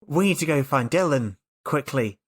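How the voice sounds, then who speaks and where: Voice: medium pitch, animated, moderate pace, husky. A tabletop game player chatting casually in an emphatic way, often repeating words.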